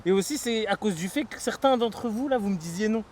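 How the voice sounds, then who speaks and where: A young man, interviewing a friend in a relaxed, teasing way. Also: sits high, fairly quick. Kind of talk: casual street slang chat.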